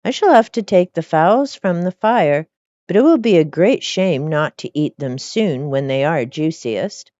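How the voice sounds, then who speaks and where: Woman reader as greedy, merry fairy-tale cook: wheedling, very animated, dry lo-fi sound. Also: low voice, measured pace, no room sound. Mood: innocent, self-pitying. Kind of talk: storybook character reading.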